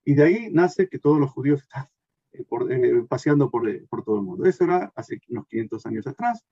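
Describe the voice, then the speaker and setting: A man's voice: medium pitch, animated, a little fast, mellow, breathy edge, no room sound, muffled recording. A man from Argentina, presenting a workshop with many fillers and restarts. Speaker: consultant giving a workshop. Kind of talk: recorded presentation talk.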